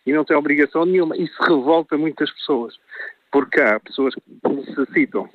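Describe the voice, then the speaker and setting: A man's voice: fairly high pitch, no room sound. Man, radio caller, argumentative, emphatic rises, phone-line audio. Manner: argumentative, pushes his voice up for emphasis. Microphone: telephone. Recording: dry tinny phone line.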